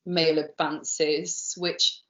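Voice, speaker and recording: Low-voiced woman in an echoey room. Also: level tone, very slow, pushed and bright. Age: 30s. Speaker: interviewee. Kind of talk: reflective personal interview. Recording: muffled lo-fi, roomy echo.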